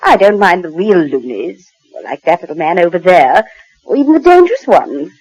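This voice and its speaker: A woman's voice: lowish voice, big pitch swings, normal speed, a little mellow, slightly rough, rough recording. An actress playing a well-bred British lady in a theatrical, hesitant 1940s radio drama style. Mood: shocked, pitying. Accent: British English. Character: well-bred lady, by turns shocked visitor and dreamy mental patient. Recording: old 1940s radio recording.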